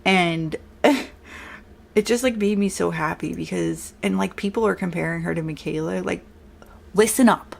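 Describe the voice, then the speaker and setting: Young woman, strong New York accent, gravelly low voice, blunt chatty makeup vlogger. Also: moderate pace. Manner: chatty, blunt, says like a lot. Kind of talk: casual makeup tutorial chat.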